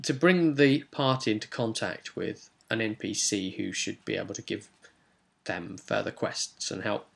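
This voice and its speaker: A man's voice: lowish voice, vocal fry, fairly bright tone. A man giving a casual, rambling recap of a tabletop role-playing session in an informal way, as the game master.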